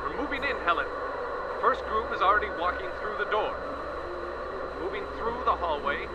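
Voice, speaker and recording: A man's voice: sits high, expressive. An actor playing a seasoned male war correspondent describing a strange place live, often stumbling and restarting. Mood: reporting. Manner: halting, stammering live description. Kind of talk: audio drama acting. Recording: dull, noisy radio-like sound.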